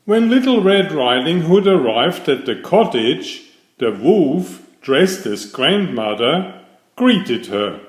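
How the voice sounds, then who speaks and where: A man narrating a children's fairytale aloud in a deliberate, expressive storybook style. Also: a little high, echoey room, low-quality recording.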